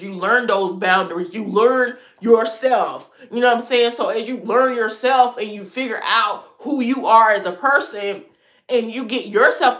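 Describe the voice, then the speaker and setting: A woman's voice: on the high side, a bit bright. A woman in her thirties, stuffy from a cold, chatting frankly with viewers about dating and often laughing. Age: 30s. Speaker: lifestyle vlogger. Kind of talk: casual chat answering viewer comments.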